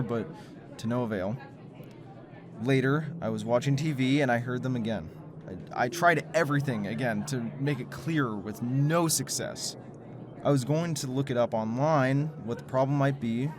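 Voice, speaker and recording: A man playing an earnest, anxious male conspiracy theorist in an audio drama, halting and stammering when worried. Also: medium pitch, crowd noise, natural voice.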